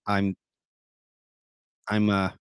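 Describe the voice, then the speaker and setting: A middle-aged man hosting a casual live stream, talking softly and deliberately quiet, rambling with plenty of fillers. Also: lowish voice, completely flat delivery, smooth, no room sound, clean studio sound. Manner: soft-spoken, deliberately quiet to save his voice. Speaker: host of apologetics live stream.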